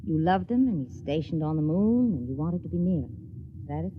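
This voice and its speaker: An actress playing a brisk, caring rocket-ship stewardess with a soothing manner in a 1950s radio drama. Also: female voice, deep voice, lively intonation. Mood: sympathetic. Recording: dull old radio recording, faint hiss.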